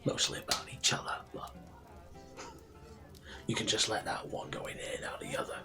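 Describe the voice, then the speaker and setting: A man playing a friendly, proud tavern barkeep in a fantasy ASMR roleplay, speaking softly and breathily, often near a whisper, in an unhurried, rambling way. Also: close to an everyday voice. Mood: gentle, chatty, proud. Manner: unhurried, chatty, rambling with pauses. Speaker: roleplay performer. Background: soft music and tavern ambience.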